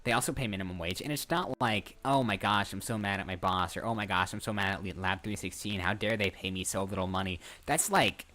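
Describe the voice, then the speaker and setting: A man's voice: deep voice, bright, forceful tone. Rambling young male streamer. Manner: rambling, repeats phrases, self-interrupting. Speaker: streamer and voice actor. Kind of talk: casual live stream chat.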